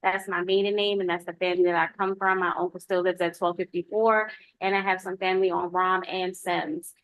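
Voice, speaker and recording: A woman's voice: on the low side, level tone, fairly quick, no echo, rough recording. A woman from the United States, a police lieutenant, giving an informal spoken report at a public meeting.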